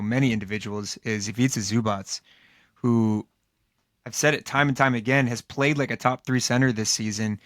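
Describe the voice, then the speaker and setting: Hoarse man rambling through a sports recap. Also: medium pitch, a bit bright, dry recording, clean studio sound. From Los Angeles area, United States. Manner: loose, rambling, with many fillers and restarts. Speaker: podcast co-host. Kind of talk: casual sports podcast talk.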